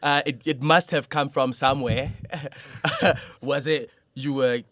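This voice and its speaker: A man's voice: medium pitch, steady tone, takes it slowly, a bit bright, no echo, studio quality. A man hosting a casual conversational interview in an encouraging, affirming manner. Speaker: interviewer, host.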